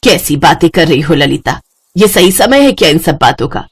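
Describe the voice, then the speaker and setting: A woman's voice: sits low, big pitch swings, normal speed, a little hiss or hum. A woman voicing an affectionate elderly village mother-in-law in a story, coaxing and motherly. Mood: gently scolding. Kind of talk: acted Hindi moral story dialogue.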